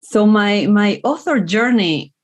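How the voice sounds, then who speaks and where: A woman's voice: mid-range voice, animated, slow. Earnest Argentine woman, Spanish accent. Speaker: guest author. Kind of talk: interview answers.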